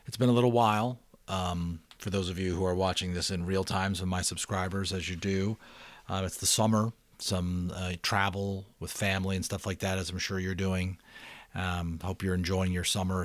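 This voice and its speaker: A middle-aged man giving a dramatic reading of a novel, with deliberate emphasis and casual asides to his listeners. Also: deep voice, no echo. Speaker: audiobook reader and channel host.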